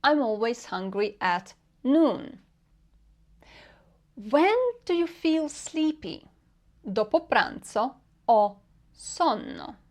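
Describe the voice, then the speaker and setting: Slow, measured woman teaching a lesson. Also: a little high, darkish tone. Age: 40s. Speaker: Italian language teacher. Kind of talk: scripted language lesson.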